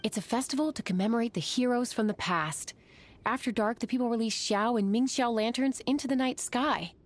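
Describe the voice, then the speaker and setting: A woman's voice: on the high side, brisk, a little hiss or hum, lightly acted. A woman voicing a polite, friendly innkeeper in a video game, explaining things courteously. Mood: informative. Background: music.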